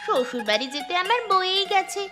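A woman's voice: extremely high pitch, big pitch swings, fairly quick, music in the background. A woman voicing a whiny, spoiled young village girl who complains petulantly in a fairy-tale cartoon. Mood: sulky, defiant.

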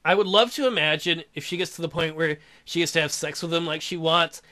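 A man's voice: fairly high pitch, a little fast, sharp tone. A man hosting a gaming video, giving comic, irreverent commentary as he reads a visual novel aloud. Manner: irreverent, joking, exaggerated. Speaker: gaming host.

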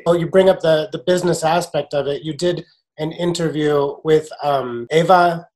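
A man's voice: fairly high pitch, fairly even intonation, normal speed, darkish tone, rough recording. A middle-aged man hosting an interview over a video call from home, easygoing, asking short questions. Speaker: host, interviewer. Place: home room. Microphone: webcam video call.

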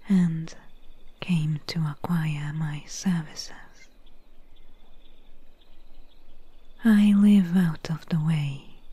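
A woman voicing a calm, mysterious swamp witch healer in a whispery, soft-spoken ASMR roleplay, close to the mic. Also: on the low side, no echo, heavily acted. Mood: calm, inviting. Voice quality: whispery, breathy. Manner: slow, hushed, unhurried.

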